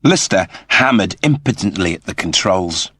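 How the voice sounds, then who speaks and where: A man reading scripted science fiction narration as an audiobook narrator, in a measured, dramatic manner. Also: sits low, animated, bright, forceful tone, breathy edge, no echo, clean studio sound.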